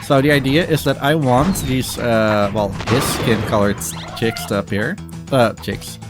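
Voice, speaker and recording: A man's voice: mid-range voice, measured pace. A man giving casual, rambling live commentary as he speedruns a game, with a self-deprecating manner. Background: game music.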